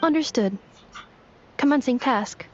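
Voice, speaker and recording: A woman voicing a literal-minded robot helper in a video game, clipped, precise and deadpan. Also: sits high, unhurried, dull audio, slight background noise. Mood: obedient.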